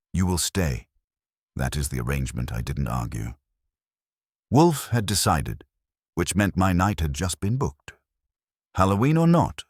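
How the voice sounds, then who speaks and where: A man with a very deep voice narrates scripted detective fiction. His delivery is wry and hardboiled, moving through a lively range of pitch, and the sound is dry and close. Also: breathy edge, no echo. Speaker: audiobook narrator.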